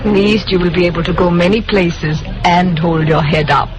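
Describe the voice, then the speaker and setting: A woman acting a poised, secretive recruiter in an old-time radio drama, speaking formally and cryptically in measured phrases. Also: on the low side, a loud crowd, natural voice. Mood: cryptic, assured. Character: poised, secretive female recruiter for a shadowy group. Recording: dull vintage radio recording, music behind.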